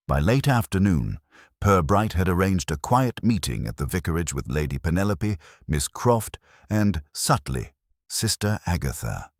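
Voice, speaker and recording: A man narrating a scripted detective story in a measured, literary storytelling manner. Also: low-pitched, no room sound, studio quality.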